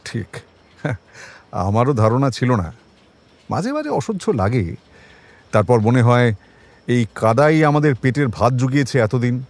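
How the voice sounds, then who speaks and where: A male actor playing a shrewd, world-weary senior detective in a crime audio drama, with a measured, wry, colloquial delivery. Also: medium pitch, big pitch swings, darkish tone.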